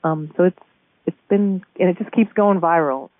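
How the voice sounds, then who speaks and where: A woman being interviewed over the phone, casually recounting events in a halting way with repeated words. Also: on the low side, no echo. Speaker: store manager being interviewed. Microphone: telephone call. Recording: muffled band-limited phone line.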